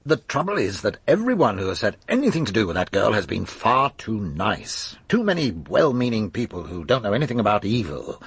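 An actor playing a shrewd, world-weary chief inspector in a radio drama, with a gravelly, husky voice and a probing, dryly insinuating manner. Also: male voice, on the low side, very animated, fairly quick, hardly any pauses, no echo, full character voice. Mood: reflective.

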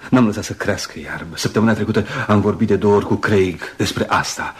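An actor in a radio play voicing a brisk, bossy friend, chatty, ironic and insistent, on a noisy old recording. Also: male voice, fairly low pitch, slightly rough. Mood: eager, persuasive. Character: brisk, teasing, bossy male friend of the doctor. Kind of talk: Romanian radio theatre acting.

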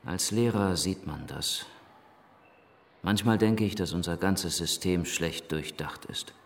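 An actor playing a liberal priest and headmaster in a German radio drama, measured, articulate and paternal, gently reproving. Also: male voice, low-pitched, gravelly, exaggerated voice. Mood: regretful, thoughtful.

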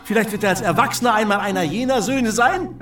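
A man's voice: sits high, fast, fairly bright tone, faint music behind. A male actor in a radio play as a stern, exasperated provincial lawyer, speaking formally and emphatically. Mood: bitter, exasperated. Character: exasperated provincial French lawyer, liberal-minded, stern disappointed father.

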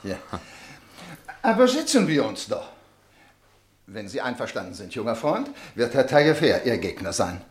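An actor playing a genial, solicitous middle-aged gentleman at cards in a German radio play, familiar and coaxing. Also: mid-range voice, sing-song, normal speed, pauses often, close to an everyday voice. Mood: sociable. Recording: echoey room.

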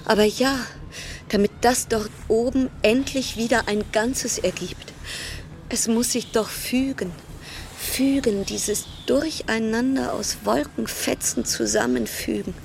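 An actress playing an anxious, restless female cruise passenger in a radio play monologue, brooding in clipped fragments that slide into breathy whispers. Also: on the high side, steady tone, slow, slight background noise. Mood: agitated, searching.

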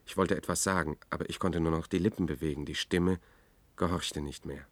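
An actor playing a haunted reporter whom nobody believes, narrating in a German science-fiction radio drama with brooding, measured intensity. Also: male voice, very deep voice, steady tone, dry recording. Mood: tense, unravelling. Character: haunted male reporter, disbelieved, obsessive and increasingly unravelling.

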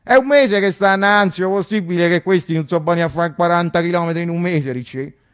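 An actor voicing a naive, grumbling Roman uncle, exasperated and emphatic, in colloquial dialect with drawn-out words. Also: male voice, high-pitched, clear, resonant tone, natural voice.

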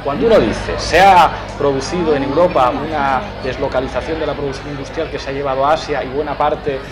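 Fast-talking man making political points. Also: mid-range voice, over music, a crowd in the background, rough recording. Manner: rhetorical questions, articulate, insistent. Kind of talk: political speech.

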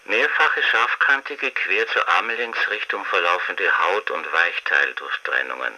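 An actor in a radio drama playing a clinical official who reads medical reports in a clipped, formal manner. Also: male voice, low-pitched, bright, forceful tone, heavily acted, low-quality recording.

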